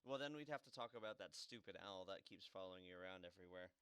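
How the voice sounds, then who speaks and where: A man's voice: low-pitched, fast talker, a bit bright, clear, resonant tone, no room sound. A man giving casual, chatty commentary while he plays a game. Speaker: game player and co-host. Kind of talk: casual let's play commentary.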